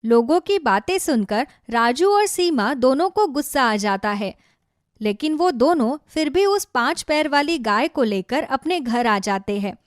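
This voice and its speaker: Female narrator for cartoon tales, high voice, scripted storytelling, very dry recording. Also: lively intonation, a little slow, dry recording.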